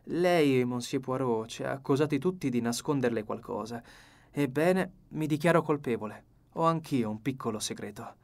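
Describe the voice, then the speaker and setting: A man's voice: medium pitch, moderate pace, lightly acted. Man playing a breezy, frank young secretary. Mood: confessing. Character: cheerful, frank, likeable young male secretary. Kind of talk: acted audiobook dialogue.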